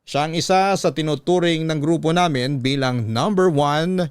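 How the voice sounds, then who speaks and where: A man narrating as a radio host and storyteller, reading in a measured, dramatic scripted style. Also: on the high side, clean tone. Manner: measured, reading a letter in first person. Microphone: close studio microphone.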